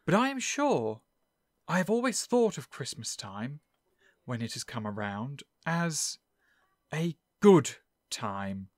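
An actor playing a cheerful young nephew in an audio drama, speaking earnestly and warmly. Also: male voice, a little high, very animated, slow pace, no echo, studio quality. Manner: earnest, pleading.

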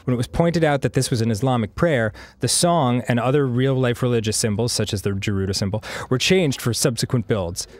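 A man's voice: medium pitch, fairly quick, hardly any pauses, no echo. Rambling man chatting over gameplay. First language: Hebrew. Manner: rambling, frequent fillers and laughs. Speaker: co-host. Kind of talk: casual gameplay chat.

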